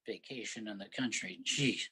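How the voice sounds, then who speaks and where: A man's voice: fairly low pitch, normal speed, bright, forceful tone, breathy edge. A man playing a sarcastic, grumbling, exasperated husband in a staged reading of a comic play. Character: sarcastic, exasperated husband driving lost in the country.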